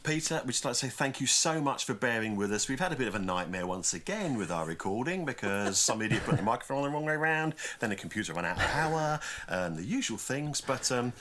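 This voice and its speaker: A man's voice: mid-range voice, sing-song, quick pace, hardly any pauses, a bit bright, a little hiss or hum. A man hosting a podcast in a casual, conversational style. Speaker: podcast co-host.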